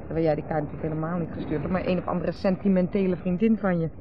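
An actress playing a blunt, mocking, provocative wife in a teasing, sardonic 1970s radio drama style. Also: female voice, lowish voice, steady tone, rapid-fire, lightly acted. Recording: dull, noisy 1970s radio recording.

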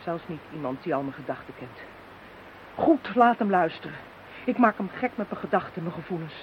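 A woman's voice: fairly low pitch, expressive, a little fast, close to an everyday voice. A woman acting a passionate, defiant lover facing danger in a radio drama, with intense, earnest delivery. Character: passionate, doomed young woman in love, defiant. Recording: thin, phone-like radio recording with hiss.

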